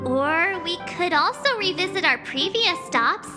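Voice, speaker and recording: A woman's voice: extremely high pitch, lively intonation, measured pace. A woman voicing a perky, caring little traveling companion in a video game. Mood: upbeat, practical. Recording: long reverb, game music behind.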